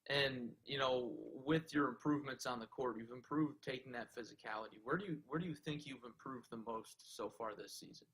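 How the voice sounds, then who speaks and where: A man's voice: mid-range voice, fairly quick, hardly any pauses, fairly bright tone, poor audio. A man hosting a sports show, asking interview questions in a conversational, friendly way and often leading with "you know".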